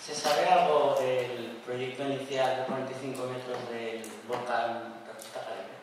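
A man in the audience asking a question slowly in a lecture hall. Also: medium pitch, flat delivery. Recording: echoey hall, distant mic, loud noise.

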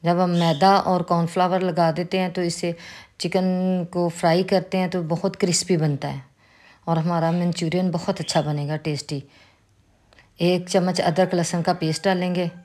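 A woman with a Pakistani Urdu accent, calmly explaining a recipe step by step as a cooking host. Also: fairly low pitch, normal speed. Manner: calm, instructive, with religious greetings. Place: home kitchen.

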